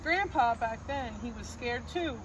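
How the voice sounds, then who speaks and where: High-voiced woman reading in sing-song rhyme. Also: a little slow. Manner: sing-song, gentle rhyming cadence. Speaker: storybook reader. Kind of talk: reading a rhyming picture book aloud. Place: outdoors on a farm. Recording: noisy outdoor recording with crickets.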